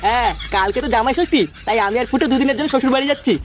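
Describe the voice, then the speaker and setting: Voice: high-pitched, rapid-fire, background noise, lightly acted. A performer voicing a brash, scornful Bengali village fellow in comic cartoon dialogue, slangy, coarse and needling. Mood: chatty.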